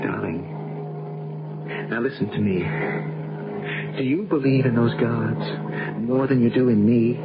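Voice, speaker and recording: An actor playing a steady, determined small-plane pilot earnestly reassuring his lover in a 1970s radio drama. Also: medium pitch, a little slow, pauses often, natural voice. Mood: earnest, tender. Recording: dull noisy old radio broadcast.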